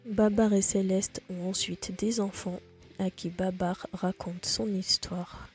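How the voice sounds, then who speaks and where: A woman presenting in a measured, explanatory scripted narration. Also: medium pitch, steady tone. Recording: music under the voice. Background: background music.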